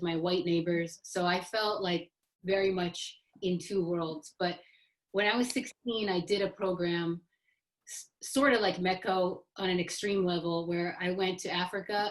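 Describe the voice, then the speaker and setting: A woman's voice: fairly low pitch, low-quality recording. A woman from the Boston area speaking as an author on a panel, conversational, stressing points by repeating phrases. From Boston area, United States.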